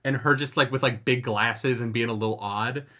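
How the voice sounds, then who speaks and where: A man's voice: medium pitch, fairly even intonation, pushed and bright. A man co-hosting a book club podcast, joking casually and swearing. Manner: quick, joking, swears a lot. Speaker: podcast co-host.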